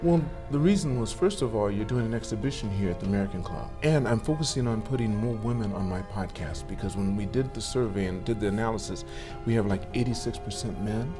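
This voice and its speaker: A man's voice: fairly low pitch, animated, fast, few pauses, over music. A man hosting a podcast, asking chatty, rambling questions in a casual interview. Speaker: podcast host.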